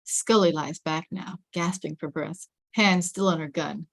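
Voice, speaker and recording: Woman, lowish voice, scripted narration, screenplay directions, dry recording. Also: fairly even intonation, measured pace, vocal fry, no echo. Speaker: reader of stage directions.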